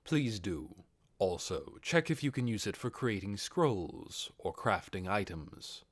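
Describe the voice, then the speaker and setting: A man's voice: on the low side, animated, takes it slowly, no room sound. A man voicing an awkward undead male overlord in an audiobook, hesitant and privately full of self-doubt. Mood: businesslike. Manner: hesitant, sighing, then businesslike.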